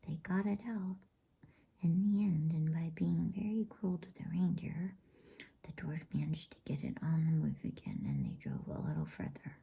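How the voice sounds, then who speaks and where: A woman reading a novel aloud as a bedtime story in a hushed, unvoiced ASMR whisper. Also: sits low, very animated, moderate pace, gravelly, husky, dull audio.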